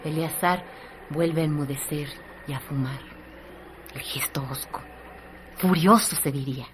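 A woman narrating a radio drama in a lyrical, measured way. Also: low voice, noisy background.

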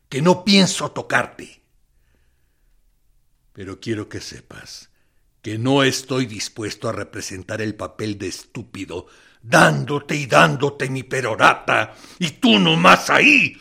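An actor in a radio play voicing a military interrogator who plays good cop, deliberate, condescending and mock-friendly, with menace in his theatrical pauses. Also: medium pitch, gravelly, pushed and bright, breathy edge, heavily acted. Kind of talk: dramatic radio play monologue.

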